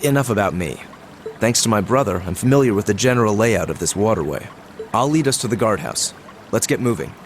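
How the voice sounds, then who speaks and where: A man's voice: fairly low pitch, lively intonation, fairly quick, natural voice. An actor playing a proud, aloof young nobleman in a video game, formal and haughty with a dry, sardonic edge. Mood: resolute. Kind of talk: dramatic video game voice acting. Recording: clear water noise behind voice. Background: running water ambience.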